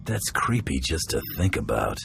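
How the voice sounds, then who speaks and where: An actor playing a cocky, dryly confident bounty hunter in a video game, terse and sardonic. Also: male voice, very deep voice, sing-song, moderate pace, creaky, music in the background, exaggerated voice. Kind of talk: video game cutscene acting.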